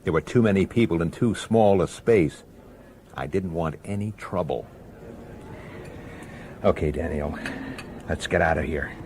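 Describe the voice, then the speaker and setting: Tough middle-aged male detective, low voice, terse, wry, hardboiled radio drama, noisy city ambience. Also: measured pace, long pauses, darkish tone. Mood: wary, low. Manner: terse, dry, clipped. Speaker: detective and first-person narrator.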